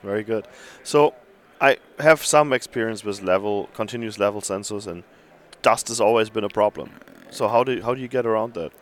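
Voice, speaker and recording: A man hosting a casual interview in a relaxed, friendly way, giving short affirmations as his guest talks. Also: lowish voice, fairly quick, hardly any pauses. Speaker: interview host.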